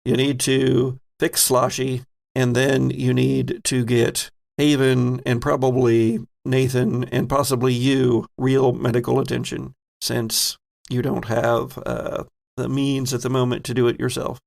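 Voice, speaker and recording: A man with a creaky, medium-pitched voice acts as game master. He narrates scenes off the cuff and teases his players as he goes, keeping it casual. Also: flat delivery, measured pace, a little mellow.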